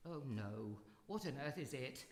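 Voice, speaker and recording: A woman's voice: extremely low pitch, animated, a little slow, darkish tone, slight background noise. A woman playing a courteous, sympathetic old friend in a live radio drama, with a polite, genteel manner. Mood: puzzled, concerned. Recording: echoey hall, live stage performance.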